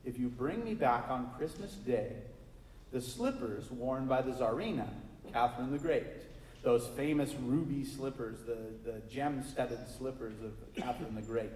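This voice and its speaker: A man's voice: medium pitch, moderate pace, a little mellow, far from the mic, slight background noise, voice pushed down, close to an everyday voice. A man voicing a proud, scornful young woman, in an echoey hall. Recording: echoey hall, faint ambience.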